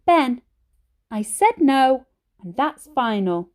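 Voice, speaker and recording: A woman's voice: high-pitched, very animated, takes it slowly, a little mellow, voice pushed up, full character voice. A woman voicing a stern but fond old granny in a storybook, with an emphatic, scolding manner. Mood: firm, exasperated.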